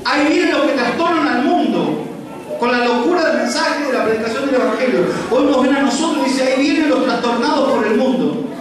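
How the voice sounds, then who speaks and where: Brief: male preacher, fiery, repetitive, high-pitched, recorded from afar in a crowded hall. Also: expressive, a little fast. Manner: rhetorical questions, shouting and repetition. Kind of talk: fiery sermon. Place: large church hall with congregation. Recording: echoey hall with distant mic and crowd.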